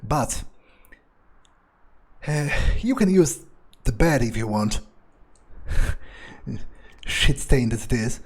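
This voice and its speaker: A man's voice: mid-range voice, animated, slow, pauses often, darkish tone, slightly rough. A man playing a smug, power-hungry vampire in improvised roleplay, musing and gloating in a hesitant way. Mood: self-satisfied, musing. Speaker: gamer playing in character.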